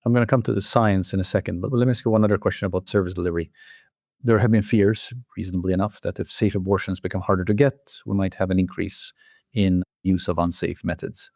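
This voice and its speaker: A man's voice: low-pitched, fairly even intonation, fast, vocal fry, a little mellow, no room sound, studio quality. A man hosting an interview, putting structured questions to a guest in a formal conversational style. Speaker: interviewer, journal editor hosting.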